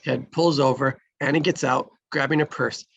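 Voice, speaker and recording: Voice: mid-range voice, steady tone, moderate pace, creaky, a bit bright, a little hiss or hum. A narrator reading screenplay stage directions aloud in a scripted table-read style.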